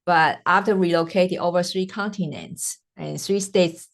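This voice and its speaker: A woman from Taiwan, a native Mandarin speaker with a Taiwanese Mandarin accent, hosting her podcast and telling her own story earnestly, with frequent "uh" pauses. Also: on the low side. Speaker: podcast host. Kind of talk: personal storytelling monologue.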